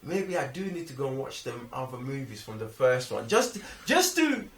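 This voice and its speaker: A man's voice: mid-range voice, sing-song, moderate pace, a bit bright, distant mic. A young man with a London accent chatting casually in heavy slang, often checking that listeners follow him. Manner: slangy, often seeking agreement. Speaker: film review podcast host. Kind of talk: casual film chat. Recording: echoey room, slightly off-mic.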